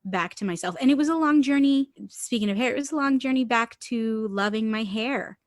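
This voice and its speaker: A woman's voice: on the high side, fairly quick, dry recording, studio quality. A woman from Puerto Rico whose first language is Spanish, telling stories animatedly and laughing often as a podcast guest. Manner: animated storyteller, laughs often, swears casually. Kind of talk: casual conversation.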